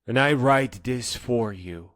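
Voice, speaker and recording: A man delivering a dramatic spoken-word monologue in an earnest, emphatic manner that moves between hushed and insistent phrases. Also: mid-range voice, slow, dry recording, studio quality.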